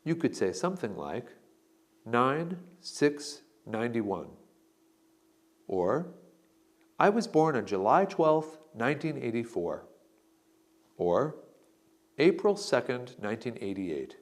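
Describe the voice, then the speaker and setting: A man narrating an English lesson, reading out model answers slowly and deliberately. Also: mid-range voice, big pitch swings. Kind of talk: slow instructional model answers.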